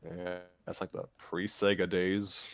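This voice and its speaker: A man with a fairly low voice reads a story aloud and chats in a casual way. The sound is dry, with little room in it, and faint music plays behind him. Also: flat delivery, measured pace, no echo. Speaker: story reader and show regular. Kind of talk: reading fan fiction aloud, then casual chat.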